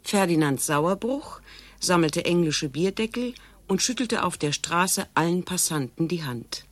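A matter-of-fact narrator in a mock-documentary radio satire. Her voice sits low and sounds close and dry. She presents absurd trivia seriously. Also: expressive, a little slow, dry recording.

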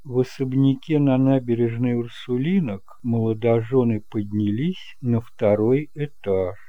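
A man narrating a detective audiobook in a measured, deliberate storytelling manner, in a homemade recording. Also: medium pitch, no echo, muffled recording. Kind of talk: scripted dramatic narration of a detective novel.

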